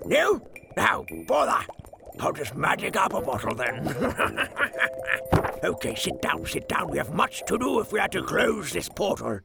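A man voicing a cranky old rum-loving wizard with a gravelly, creaky voice for a demo reel. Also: sits high, big pitch swings, a little slow, pauses often, exaggerated voice. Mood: grumbling, bossy. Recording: music behind the voice.

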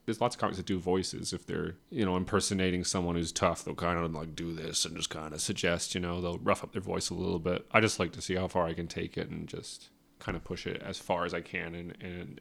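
A comedian being interviewed in a casual podcast chat, rambling and hedging with many restarts. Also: male voice, low-pitched, gravelly, a bit bright. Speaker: comedian guest.

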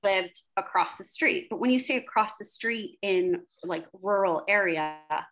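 Woman, 40s, from South Jersey, podcast guest, casual rambling storytelling, lots of fillers, self-deprecating, mid-range pitch. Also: lively intonation, normal speed, fairly bright tone, poor audio. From South Jersey, USA. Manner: rambling, chatty, many fillers like "like" and "you know".

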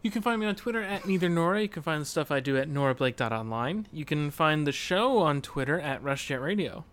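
A man's voice: on the high side, expressive, fairly quick, a bit bright, a little hiss or hum. A man co-hosting a podcast in casual chat about video games. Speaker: podcast co-host.

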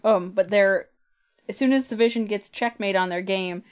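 A woman's voice: medium pitch, moderate pace, smooth. A woman co-hosting a podcast, talking in an informal, conversational way as she recaps a comic book. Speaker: podcast co-host. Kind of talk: casual chat, recapping comic books.

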